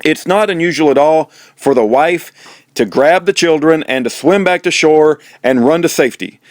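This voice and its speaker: A man's voice: fairly high pitch, moderate pace, no room sound, studio quality. A middle-aged American man speaking as an informal host, rambling in a folksy, preacherly way with repeated phrases. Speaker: host, prisoner-support activist. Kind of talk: informal, rambling monologue to viewers.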